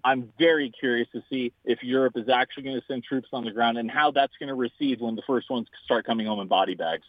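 A man's voice: mid-range voice, fast talker, hardly any pauses, dry recording. A man in a casual, opinionated chat as a guest, talking bluntly with a joking swagger. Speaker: guest, podcast host. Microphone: phone line. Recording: tinny phone line.

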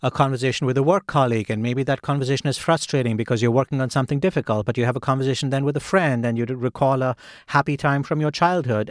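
A man's voice: medium pitch, no echo, studio quality. A man hosting an interview, attentive and summarizing his guest's ideas back in plain terms. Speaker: interview host. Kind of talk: podcast interview.

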